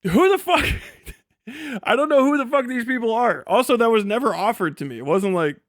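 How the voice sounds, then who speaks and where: A man's voice: extremely high pitch, animated, fairly quick, dry recording. A tired man casually chatting and ranting on a livestream as its host, rambling and bluntly swearing. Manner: rambling, blunt, heavily profane. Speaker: livestream host and video creator.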